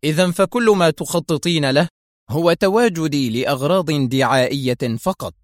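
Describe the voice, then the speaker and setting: A man's voice: mid-range voice, lively intonation, moderate pace, dry recording, clean studio sound, close to an everyday voice. A man playing a stern, principled male intelligence officer in audiobook dialogue, speaking firmly and with emphasis. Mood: angry scorn. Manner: firm, strict, emphatic.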